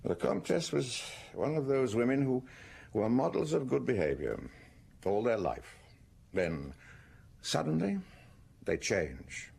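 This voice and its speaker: An actor playing a brusque, worldly older country doctor in a radio drama, dry and matter-of-fact with hesitant asides. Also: male voice, medium pitch, very animated, slow, creaky, pauses often, darkish tone, breathy edge, heavily acted. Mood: wry, confiding.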